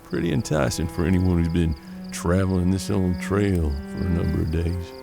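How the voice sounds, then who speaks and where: A man playing a seasoned, pragmatic bounty hunter in a western audio drama, with measured, folksy frontier talk. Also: low-pitched, lively intonation, vocal fry, over music. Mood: measured, shrewd. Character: seasoned male bounty hunter detective of 1870s Kansas, steady, pragmatic.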